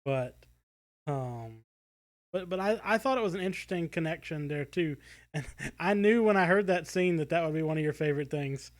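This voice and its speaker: A man with a somewhat higher-than-average voice co-hosts a casual podcast discussion. He feels his way through each point, hesitating and rephrasing as he thinks aloud. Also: expressive, normal speed. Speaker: podcast co-host.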